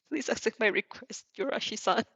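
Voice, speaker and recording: A woman voicing an earnest, hyper-energetic young boy in a story, formal and emphatic. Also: fairly high pitch, normal speed, no room sound. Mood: earnest, determined.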